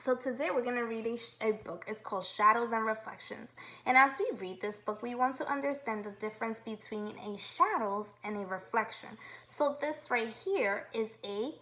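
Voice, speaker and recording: Bright, high-voiced woman teaching young children. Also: moderate pace, rough recording. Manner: upbeat, instructive, asks guiding questions. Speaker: kindergarten teacher. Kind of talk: read-aloud lesson for young children.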